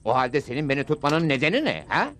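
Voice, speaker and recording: A man's voice: fairly high pitch, sing-song, quick pace, a bit bright. A man voicing a brave, confident cowboy hero in a dubbed western cartoon. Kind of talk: Turkish-dubbed cartoon acting.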